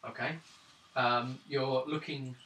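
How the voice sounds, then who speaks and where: A man running a tabletop role-playing game as game master, describing scenes and calling for rolls in halting phrases. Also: medium pitch, fairly even intonation, fairly bright tone. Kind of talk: improvised tabletop game narration and refereeing. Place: indoors around a gaming table. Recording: roomy, distant tabletop recording with background noise.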